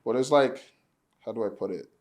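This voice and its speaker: A man's voice: medium pitch, fairly quick. Laid-back young man from Nigeria. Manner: relaxed, rambling, many "like" and "you know" fillers. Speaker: podcast guest. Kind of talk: casual conversation.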